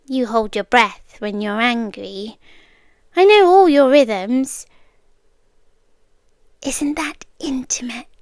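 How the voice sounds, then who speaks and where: A British woman playing an obsessive, possessive young girlfriend in an intimate ASMR roleplay, soft and deliberate, sweetly menacing, slipping into whispers. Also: fairly high pitch, smooth, dry recording, studio quality. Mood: possessive, calm. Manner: slow, deliberate, coaxing, with pauses and whispered words. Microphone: close, dry microphone.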